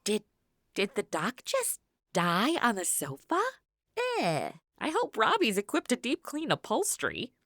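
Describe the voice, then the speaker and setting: A woman's voice: mid-range voice, wildly swooping pitch, unhurried, fairly bright tone, no echo, studio quality, full character voice. A woman playing a flippant, sassy modern influencer in a radio comedy, casual and wisecracking. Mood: sarcastic.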